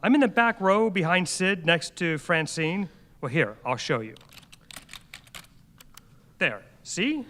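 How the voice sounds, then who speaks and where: Actor as exasperated husband in an echoey hall. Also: male voice, high voice, lively intonation, slow, long pauses, pushed and bright, a little hiss or hum, heavily acted. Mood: mildly put out. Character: Jeff, exasperated, earnest husband baffled by his online account. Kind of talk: live radio play acting. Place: on stage in a senior center hall before an audience. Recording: reverberant hall, live stage recording.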